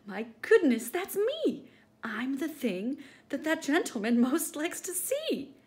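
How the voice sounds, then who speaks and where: Woman voicing an eager high-pitched boastful emu. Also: wildly swooping pitch, takes it slowly, a little mellow, full character voice. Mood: astonished delight. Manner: exclamatory, emphatic. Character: eager, boastful male emu full of schemes.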